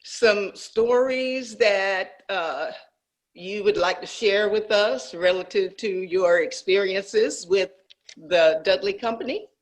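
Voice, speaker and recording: A podcast interviewer asking questions in a slow, hesitant way, with many pauses and fillers. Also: mid-range voice.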